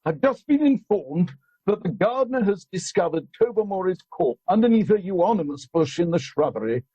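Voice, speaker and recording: An actor playing a pedantic, solemn country-house gentleman in an amateur radio play, speaking in formal, measured phrases. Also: sits high, lively intonation, breathy edge, highs cut off. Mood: grave. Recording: music behind, home online recording.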